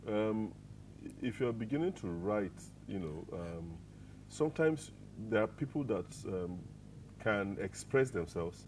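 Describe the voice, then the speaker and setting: A man with a Nigerian English accent, hosting a TV interview and asking questions haltingly, with many ums and repeated words. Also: lowish voice, lively intonation, darkish tone, slight background noise. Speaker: TV show host. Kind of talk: TV studio interview.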